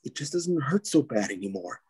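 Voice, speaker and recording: A man playing a brooding, anguished young vigilante hero in an animated film table read, speaking earnestly and pleadingly. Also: mid-range voice, fairly quick, slightly rough.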